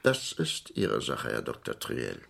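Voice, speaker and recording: An actor playing a rich, superstitious shipowner in a radio crime drama, imperious and theatrical. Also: male voice, very deep voice, wildly swooping pitch, unhurried, creaky, a bit bright, full character voice. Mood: excited, commanding. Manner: pompous, dramatic, swinging between outbursts and muttering.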